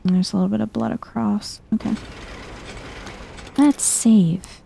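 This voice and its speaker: Casting: woman, soft-spoken game streamer, mellow with vocal fry, low-key and wry, some background noise. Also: fairly even intonation, measured pace. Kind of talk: soft-spoken ASMR let's play commentary.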